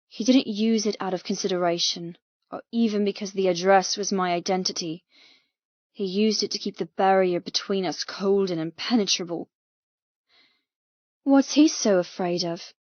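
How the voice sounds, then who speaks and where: A woman's voice: medium pitch, a little mellow, dry recording. Female audiobook narrator, mid-range voice, dramatic scripted first-person storytelling, dry slightly muffled recording.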